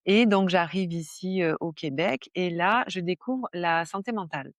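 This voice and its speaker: A woman's voice: lowish voice, moderate pace, no room sound. A middle-aged woman from southern France with a southern French accent, a guest chatting in an interview with many fillers and restarts. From southern France (Var). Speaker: guest, stress management coach. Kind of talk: casual interview conversation.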